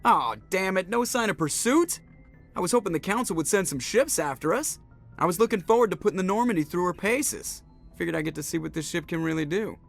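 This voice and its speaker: An actor playing a cocky, casual starship pilot in a video game, with a creaky voice. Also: male voice, sits high, animated, a little fast, faint music behind, heavily acted. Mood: cocky, joking. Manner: wisecracking, casual. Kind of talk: video game cutscene acting.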